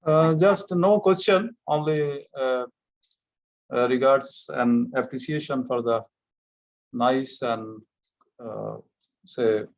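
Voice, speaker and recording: A man with an Indian English accent speaking formally and haltingly, with many fillers, in an online training Q&A. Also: medium pitch, vocal fry, rough recording. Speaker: training participant. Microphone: video call.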